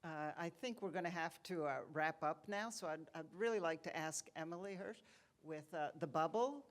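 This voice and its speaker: A woman moderating a panel, polite and brisk, wrapping up the session. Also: deep voice.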